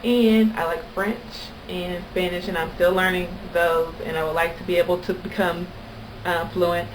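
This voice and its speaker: A woman's voice: on the low side, steady tone, moderate pace. Chatty American woman vlogger, noisy recording. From Kentucky, United States. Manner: chatty, anecdotal. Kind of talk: casual chat to camera.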